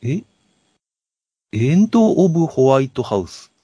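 A man with a middling pitch chats as a podcast co-host. He recounts things casually and haltingly, in a dry, close sound. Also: very animated, warm tone, breathy edge, dry recording. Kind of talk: casual film discussion.

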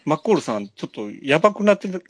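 A man's voice: on the high side, very animated, rapid-fire, no echo. A man, co-hosting a podcast with jokey, casual film chat. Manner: jokey, often laughing. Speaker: podcast co-host.

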